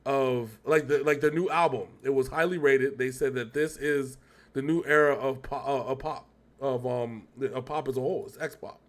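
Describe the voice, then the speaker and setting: A man's voice: mid-range voice, fairly even intonation, moderate pace. A man hosting a casual music reaction chat, rambling and often correcting himself as he thinks aloud. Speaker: reaction show host.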